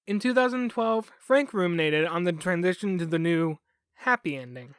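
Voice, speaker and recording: A man's voice: high voice, moderate pace, clean tone, no echo, clean studio sound. A man reading scripted documentary narration as a podcast host.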